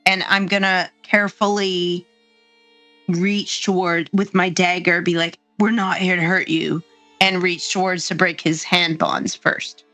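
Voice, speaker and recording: A woman with a bright, mid-pitched voice plays her character in a tabletop game, speaking hesitantly with many fillers. The sound is dry and close, with faint music behind her. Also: level tone, a little slow, dry recording. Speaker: player.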